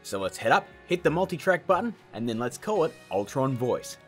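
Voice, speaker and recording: A man's voice: mid-range voice, very animated, brisk, a bit bright. A man hosting a tutorial with scripted narration and chatty, informal asides. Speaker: tutorial host. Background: background music.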